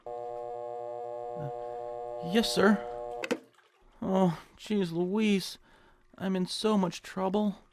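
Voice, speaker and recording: A man's voice: sits high, very slow, pauses often. A man in a comic audio drama playing a whiny, meek, hypochondriac office worker who speaks hesitantly. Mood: nervous, meek.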